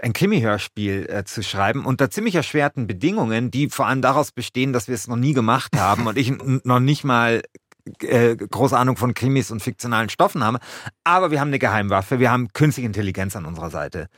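A man's voice: medium pitch, lively intonation, fast talker, fairly bright tone, no echo, clean studio sound. A man hosting a podcast in German, with a wry, playfully grandiose way of telling things. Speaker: podcast host. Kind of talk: scripted narration and casual conversation.

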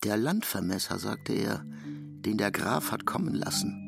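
Lively, gravelly male narrator. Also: lowish voice, unhurried. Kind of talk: scripted literary narration. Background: music.

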